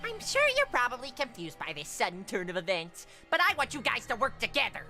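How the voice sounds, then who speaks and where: A woman voicing an urgent, theatrical toy bear villain with a gravelly voice in a fan dub. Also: a little high, wildly swooping pitch, a little fast. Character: frantic villainous toy bear headmaster mascot. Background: loud music.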